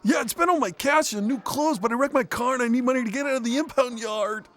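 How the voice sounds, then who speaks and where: An actor playing a sheepish young office assistant who pleads in a hesitant, rushed way. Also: male voice, extremely high pitch, fairly bright tone. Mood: pleading.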